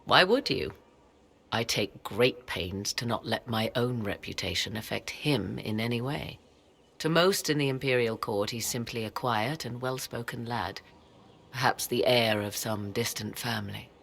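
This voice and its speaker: An actress playing a proud, aloof sorceress in a video game, speaking in archaic, formal, measured phrases. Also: female voice, very deep voice, creaky, a bit bright, exaggerated voice. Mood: guarded, proud. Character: proud, aloof, cryptic sorceress and devoted mother. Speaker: sorceress advisor. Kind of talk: dramatic video game acting.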